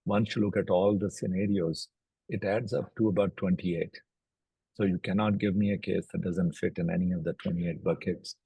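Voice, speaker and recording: A middle-aged man, a native Urdu speaker, explaining medical cases in a measured, step-by-step way during a recorded interview. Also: low voice, steady tone, creaky, mellow, slightly rough, no echo, poor audio. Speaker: oncologist and platform co-founder.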